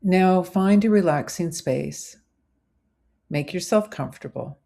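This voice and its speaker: A woman narrating a scripted guided visualization as a meditation host, in measured, deliberate phrases. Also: on the low side, creaky, mellow.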